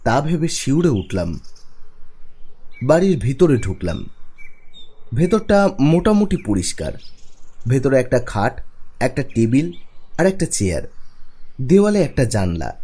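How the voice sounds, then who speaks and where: A man playing a young office worker, narrating his own eerie story in an expressive storytelling manner. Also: medium pitch, measured pace, long pauses, breathy edge. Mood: uneasy storytelling. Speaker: narrator and lead character. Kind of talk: dramatic first-person horror story narration. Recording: music behind the voice.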